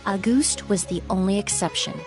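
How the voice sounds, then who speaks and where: A woman's voice: medium pitch, moderate pace, over music, lightly acted. An actress playing a poised, knowledgeable woman perfumer who explains the past in a composed, articulate way in a video game. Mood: composed, explanatory. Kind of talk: video game voice acting, measured storytelling.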